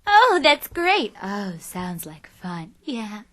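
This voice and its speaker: Woman playing a slow, swooping, flirty swimsuit model. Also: medium pitch, sing-song, fairly bright tone. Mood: gushing.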